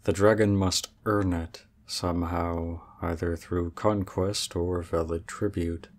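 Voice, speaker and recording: A man's voice: sits low, flat delivery, slow, slight background noise. A man playing a proud, aloof male dragon in human form, speaking formally and with cool reserve in an ASMR roleplay.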